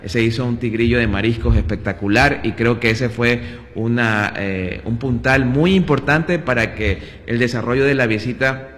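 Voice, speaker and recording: A man's voice: mid-range voice, moderate pace, fairly bright tone. An Ecuadorian man answering questions as a guest on local radio, in a studio that rings like a hall. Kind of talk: conversational interview talk. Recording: roomy hall with long reverb.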